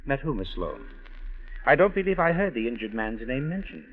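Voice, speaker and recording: Lively actor as a courteous, sly young man. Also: medium pitch, fairly quick, close to an everyday voice. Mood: smooth, suspicious. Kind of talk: old-time radio mystery acting. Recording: tinny, faintly noisy old radio recording.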